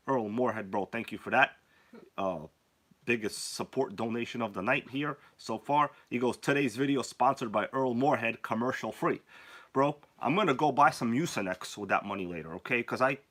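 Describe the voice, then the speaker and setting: Sick, hoarse-voiced live stream host. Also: male voice, medium pitch, fairly bright tone. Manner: joking, chatty, greets viewers by name. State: sick with a cold, losing his voice. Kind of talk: casual live stream chat.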